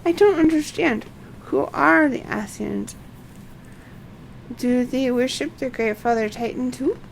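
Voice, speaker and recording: An eager, curious young kobold from a fantasy game, voiced by a woman, speaking slowly in simple, repetitive phrases. The voice has a creaky edge, with noise behind it. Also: sits high, pitched above the performer's own voice, poor audio. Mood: puzzled, curious.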